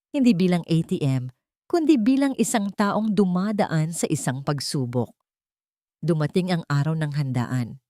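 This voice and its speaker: A woman's voice: on the low side, darkish tone, no echo, clean studio sound. A woman reading a scripted, dramatic love story as its narrator.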